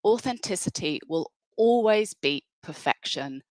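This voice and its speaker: A woman's voice: medium pitch, expressive, a little slow, a little mellow, no room sound. Enthusiastic woman presenting a webinar. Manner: enthusiastic, conversational, with fillers and restarts.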